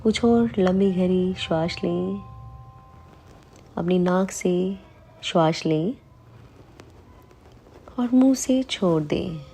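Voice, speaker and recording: A woman leading a guided meditation, calm and soothing, giving unhurried instructions with long pauses between phrases. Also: fairly low pitch, slightly rough, faint music behind. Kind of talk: slow guided meditation in Hindi.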